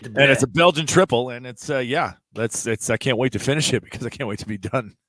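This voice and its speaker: A man co-hosting a casual podcast, talking in a low, laid-back, joking way that the host calls his sexy voice. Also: fast, a bit bright, dry recording. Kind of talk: casual chat.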